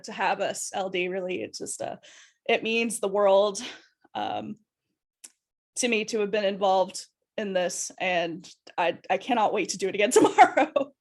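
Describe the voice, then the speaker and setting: A woman speaking casually as a guest on a livestream, with informal, sweary phrasing. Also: mid-range voice. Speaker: guest streamer. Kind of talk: casual sign-off chat on a livestream.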